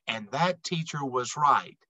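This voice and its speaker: Male storyteller, middle-aged, slow and animated, emphatic delivery for young children. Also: medium pitch, no room sound, highs cut off. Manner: emphatic, dramatic phrasing with deliberate pauses. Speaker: read-aloud storyteller. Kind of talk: expressive children's picture book read-aloud.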